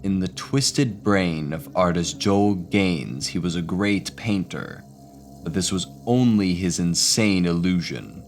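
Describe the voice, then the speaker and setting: Deep, gravelly, slow male announcer. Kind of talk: ominous scripted show introduction.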